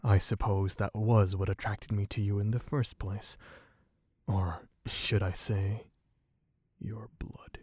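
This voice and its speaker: A man voicing a suave, possessive, bloodthirsty vampire in a low, husky, teasing voice for an ASMR roleplay. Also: little pitch movement, a little mellow, dry recording. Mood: smug, seductive. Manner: slow, teasing, theatrically genteel, purring endearments.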